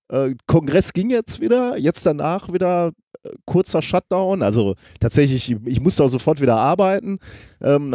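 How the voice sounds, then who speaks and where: A man's voice: fairly high pitch, warm tone, no room sound, studio quality. A man hosting a podcast while he has a cold, talking in a rambling, self-mocking way. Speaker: podcast host. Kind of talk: casual podcast chat.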